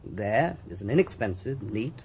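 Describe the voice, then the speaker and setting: An actor playing a British forensic doctor in a radio drama, lecturing with precise, self-satisfied relish. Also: male voice, on the low side, big pitch swings, normal speed, gravelly, a little mellow, a little hiss or hum. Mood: relishing, didactic. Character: brisk, relishing male forensic doctor, theatrically pedantic.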